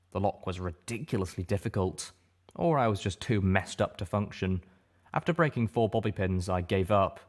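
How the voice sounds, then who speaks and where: Male audiobook reader, lowish pitch, dramatic first-person storytelling.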